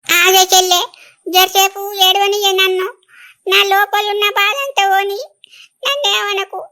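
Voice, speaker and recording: A woman's voice: high voice, fairly quick, bright, forceful tone. A woman with a Telangana accent acting an emotional, blunt and remorseful elder sister in a rural family drama, speaking plaintively with many exclamations. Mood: tearful remorse.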